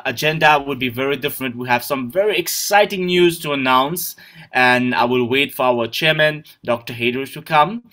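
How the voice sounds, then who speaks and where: A man's voice: on the high side, sharp tone. A man hosting an online business webinar over a video call, greeting attendees by name in a friendly way. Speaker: webinar host.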